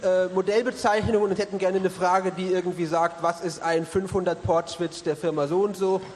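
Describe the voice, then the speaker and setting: A man's voice: high-pitched, little pitch movement, brisk. A man hosting a live stage quiz, joking and bantering with the audience. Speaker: quiz show host. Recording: live hall with crowd and music.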